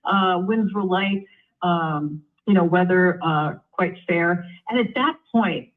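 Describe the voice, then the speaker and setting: A woman's voice: fairly low pitch, slow pace. Woman expert on a thin phone line. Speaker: aviation expert, former airline pilot. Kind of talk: live news expert interview. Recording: narrow phone-like line, radio-like sound.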